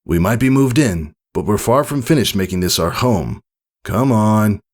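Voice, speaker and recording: A man voicing a cheerful, commanding pegasus pony father in a storybook reading, playful and gently bossy. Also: on the low side, expressive, normal speed, pitched above the performer's own voice. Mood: brisk, bossy.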